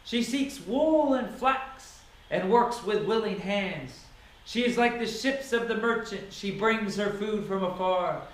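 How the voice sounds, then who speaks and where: High-pitched man reading scripture in heavy echo. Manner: solemn, declamatory, deliberate phrasing. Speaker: Bible reader. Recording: very echoey, reverberant sound.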